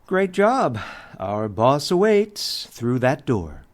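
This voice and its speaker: A man's voice: mid-range voice, big pitch swings, slow pace, no echo. A man playing a sardonic spa receptionist in a video game, with wry, drawling sarcasm. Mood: guarded, dry. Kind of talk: video game character dialogue.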